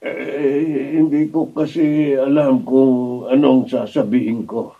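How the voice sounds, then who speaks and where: Husky, sheepish Filipino father. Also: mid-range voice, fairly even intonation, takes it slowly. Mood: apologetic. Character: sheepish, humble father and poor neighbour. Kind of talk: radio drama acting. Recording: slightly muffled studio camera audio.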